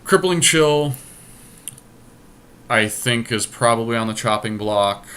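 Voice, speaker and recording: A man's voice: medium pitch, slow pace, sharp tone, slight background noise. A man talking casually through card game deck choices, deliberating and reasoning aloud as he goes. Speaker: podcast host drafting a card game deck.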